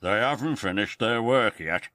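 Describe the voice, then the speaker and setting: The Fat Controller, a man doing a booming railway boss. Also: moderate pace, sharp tone, dry recording, clean studio sound. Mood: stern. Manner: booming, authoritative. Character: stout, stern middle-aged railway boss.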